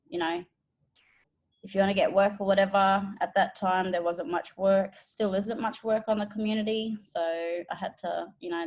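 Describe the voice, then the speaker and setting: An Australian woman from the Northern Territory, an educator and interpreter, telling her own story in a relaxed, thoughtful way with pauses. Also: on the low side, rough recording. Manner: unhurried, thoughtful, with pauses and fillers. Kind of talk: relaxed personal account.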